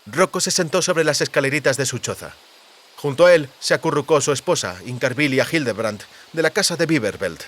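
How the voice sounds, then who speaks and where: A man's voice: medium pitch, lively intonation, a little fast, a bit bright. A man reading a fantasy audiobook as dramatic scripted narration.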